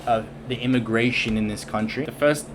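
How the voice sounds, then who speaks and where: A man's voice: medium pitch, fairly even intonation, fairly quick, background noise. A young Australian man chatting casually and asking curious questions as a travel vlogger. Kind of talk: casual travel vlog chat.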